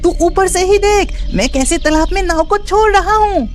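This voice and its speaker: A woman voicing a confident, carefree young village boy, the elder brother in an animated moral story. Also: very high voice, normal speed, faint music behind. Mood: eager, bossy.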